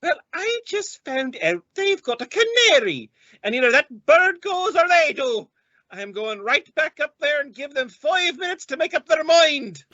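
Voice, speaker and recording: A woman's voice: high voice, sing-song, moderate pace, pushed and bright, dry recording, dull audio, heavily acted. A woman playing a stern, bossy landlady, indignant and emphatic, in an old-time radio comedy.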